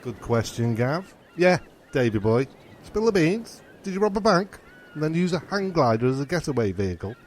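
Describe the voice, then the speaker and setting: Cheeky male castaway sidekick played by a man for radio comedy; matey, slightly high pitch, faint noise behind. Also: big pitch swings, normal speed. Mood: cocky. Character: cocky, matey castaway sidekick, easily convinced. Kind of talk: comic radio play acting.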